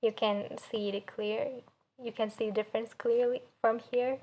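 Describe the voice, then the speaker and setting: A young woman with a Turkish accent giving a spoken student presentation, haltingly searching for words and pronouncing example words carefully. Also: a little high, little pitch movement, dark tone. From Turkey. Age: young adult. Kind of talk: spoken assignment presentation on pronunciation.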